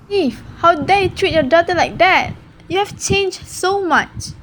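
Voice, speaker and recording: Woman playing a high-pitched, emotional wife. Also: lively intonation, unhurried, fairly bright tone, exaggerated voice, poor audio. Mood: outraged. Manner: emotional, pleading. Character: distressed, indignant wife and mother. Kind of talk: amateur radio drama acting. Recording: clear background noise.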